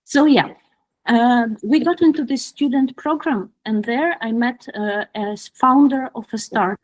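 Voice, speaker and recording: A woman with a fairly high voice and a Ukrainian accent presents her own story to an online group. She speaks informally, with hesitant pauses as she looks for words. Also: rough recording. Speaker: guest speaker, product designer. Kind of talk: personal career talk to an online group. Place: online video call.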